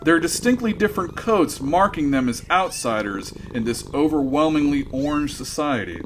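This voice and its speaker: A man's voice: a little high, lively intonation, normal speed, noisy background. Wry male radio comedy narrator. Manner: wry, dry.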